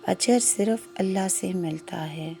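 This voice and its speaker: A woman voicing a humble, devout poor cobbler in a story, speaking gently and earnestly. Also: on the low side, gravelly, a little hiss or hum. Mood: gentle, firm.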